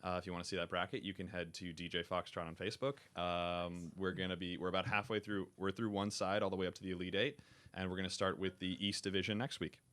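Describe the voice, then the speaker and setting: Deep, smooth-voiced young man. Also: little pitch movement, fast talker, fairly bright tone. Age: young adult. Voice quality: deep, rich timbre. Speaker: interview guest, student radio promotions staffer. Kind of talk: casual, unscripted interview talk.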